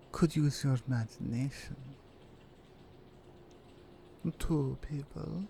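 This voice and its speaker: Slow, creaky Russian mafia villainess acted by a woman. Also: very deep voice, dark tone, a little hiss or hum, exaggerated voice. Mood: seductive, coaxing. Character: menacing, seductive Russian mafia woman holding a hostage. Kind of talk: slow, intimate ASMR roleplay acting.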